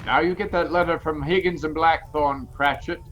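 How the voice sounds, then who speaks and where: A man's voice: a little high, steady tone, normal speed, a bit bright, soft background music, close to an everyday voice. An actor playing an irritable, miserly old businessman in a radio play, barking with scorn and dismissing everyone. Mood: curt, grumbling.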